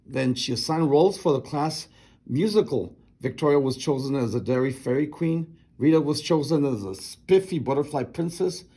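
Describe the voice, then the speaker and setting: A man reading a children's picture book aloud in an expressive narrating style. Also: fairly high pitch, normal speed, husky. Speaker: storybook reader.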